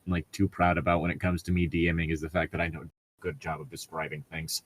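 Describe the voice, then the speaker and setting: A man's voice: low-pitched, little pitch movement, quick pace, slight background noise. A man co-hosting a podcast, switching between casual chat and reading stories aloud.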